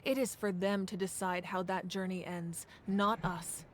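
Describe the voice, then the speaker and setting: A woman playing a calm, self-sacrificing female ruler in a video game, speaking in a measured, solemn, commanding way. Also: mid-range voice, slight background noise, lightly acted. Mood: solemn, resolute. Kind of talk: dramatic video game voice acting.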